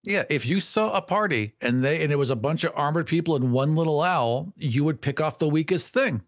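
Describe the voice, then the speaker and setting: A man's voice: a little high, lively intonation, a little fast, no room sound, clean studio sound. A man co-hosting a podcast in a casual, conversational style. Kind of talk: casual chat.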